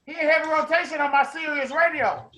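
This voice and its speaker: A man's voice: very high voice, fast talker, background noise. A man co-hosting a podcast, bantering casually in an insistent, slangy way. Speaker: podcast co-host.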